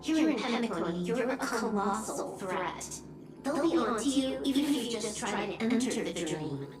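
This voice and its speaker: A woman playing a cool, pragmatic female accomplice in a video game, measured, laconic and matter-of-fact. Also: fairly high pitch, lively intonation, echoey room, distant mic, music in the background, natural voice. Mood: grave, frank.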